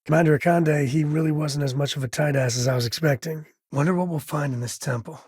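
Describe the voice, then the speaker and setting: An actor playing an easygoing, wry spacefaring explorer and devoted father in a video game, with a husky, raspy voice and a casual, folksy way of talking. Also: a little high, quick pace, darkish tone, dry recording, studio quality. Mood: wry, friendly. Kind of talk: video game character dialogue.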